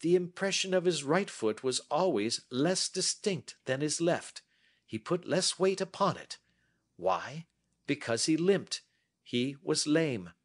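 A man's voice: a little high, very animated, takes it slowly, pitched above the performer's own voice, full character voice. A man reading as a brilliant, self-assured Victorian detective who explains his deductions precisely. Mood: reasoning.

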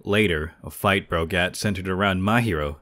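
A young man narrating a comic in a theatrical, deliberate manner. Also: low-pitched, a bit bright, no echo. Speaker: manga dub reader.

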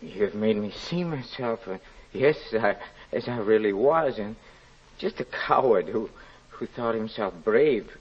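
An actor playing a proud, guilt-ridden, impoverished young murderer in an old-time radio drama, halting and overwrought. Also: male voice, lowish voice, animated, breathy edge. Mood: humbled, penitent. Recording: muffled old radio recording.

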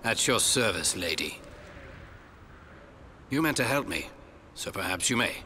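An actor playing a gruff, wry, guarded wandering ranger in a dramatic fantasy game cutscene. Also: male voice, on the low side, very animated, measured pace, bright, forceful tone, a little hiss or hum, full character voice. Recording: music behind.

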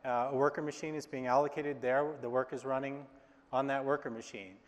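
A man presenting a product on a live stage, relaxed and speaking with frequent fillers. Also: mid-range voice, brisk. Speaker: IT engineer presenting. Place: large stage, hall. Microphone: lapel mic. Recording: reverberant hall.

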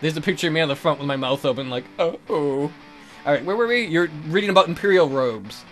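A man's voice: fairly high pitch, expressive, fast talker, soft background music. A man hosting a let's play with casual, joking commentary and a teasing manner. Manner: teasing, jokey.

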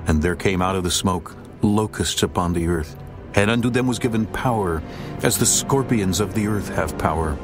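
A man narrating a dramatized scripture reading in a steady, grave voice. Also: sits low, moderate pace. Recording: narration over music.

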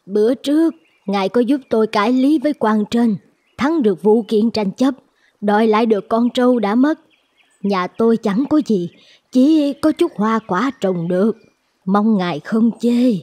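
A woman's voice: a little high, normal speed, dark tone, lightly acted. A woman playing a humble, grateful peasant woman in a cartoon folk tale.

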